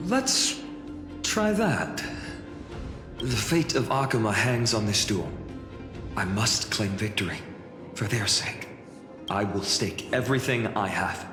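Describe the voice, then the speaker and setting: A man's voice: on the low side, expressive, slow pace, long pauses. A man playing an earnest, burdened young warrior hero in a video game, intense and resolute. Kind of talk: English video game voice acting. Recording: echoey hall reverb, clear music behind.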